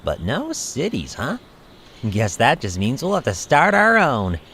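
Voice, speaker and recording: A man's voice: medium pitch, a little slow, heavily acted. A man voicing a dry, sardonic little creature in an audio drama, with a sarcastic manner and faint noise. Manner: deadpan, sarcastic.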